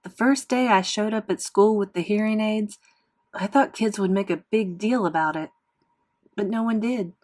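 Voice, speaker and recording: Woman reading a kids novel out loud, expressive storybook narration, mid pitch. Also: normal speed. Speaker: read-aloud narrator.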